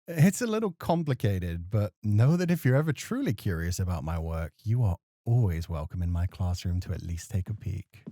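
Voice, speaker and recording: A man's voice: deep voice, sing-song, moderate pace, hardly any pauses, dry recording, studio quality. A man playing a gracious, teasing professor moonlighting as a club host in a flirty ASMR roleplay. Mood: fond, gracious. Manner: teasing, playful, softly courteous. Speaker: voice actor.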